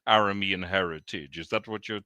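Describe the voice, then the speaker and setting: A man's voice: low-pitched, a little fast, sharp tone, no room sound. A male podcast host listening attentively with short agreements and asking long, searching questions. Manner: attentive, frequent "hmm" and "right" backchannels, rambling questions. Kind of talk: podcast interview.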